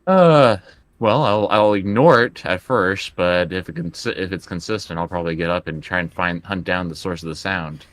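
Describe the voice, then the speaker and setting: A man playing a tabletop roleplaying game, improvising his character's actions in halting, casual talk. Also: low-pitched, no room sound.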